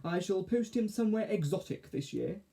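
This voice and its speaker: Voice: mid-range voice, expressive, a little fast, vocal fry, dark tone, voice pushed up. Narrator putting on a haughty, languid cat. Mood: matter-of-fact.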